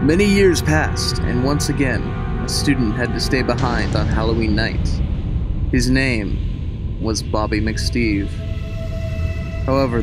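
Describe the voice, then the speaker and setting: A young man giving a mock-dramatic story reading, theatrical, breaking into casual wry asides. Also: mid-range voice. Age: young adult. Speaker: host reading own childhood story. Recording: music under the voice. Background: music.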